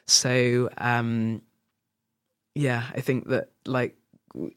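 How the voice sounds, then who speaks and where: A man's voice: medium pitch, level tone, extremely slow, a bit bright, smooth, no echo, studio quality. A man hosting a casual chat, reflective and rambling, with frequent restarts. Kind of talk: casual conversation.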